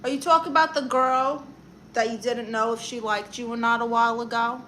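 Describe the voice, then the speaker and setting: A woman's voice: on the high side, steady tone, bright, forceful tone, a little hiss or hum. A young woman with a Brooklyn accent, hosting a livestream, reading viewer comments and firing back sassy advice and comebacks. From Brooklyn, New York. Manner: brassy, sassy, quick comebacks. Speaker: livestream host giving advice.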